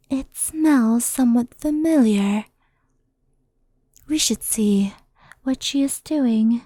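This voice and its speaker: A woman playing a gentle, anxious, centuries-old fox spirit in an ASMR roleplay, speaking softly and often whispering close to the microphone. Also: fairly high pitch, no echo. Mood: cautious. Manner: soft, breathy, often whispered, unhurried.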